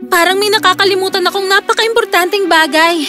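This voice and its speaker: A woman voicing a sweet, timid young princess in an animated children's story, girlish, hesitant and wistful. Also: very high-pitched, brisk, music in the background. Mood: confused, wistful.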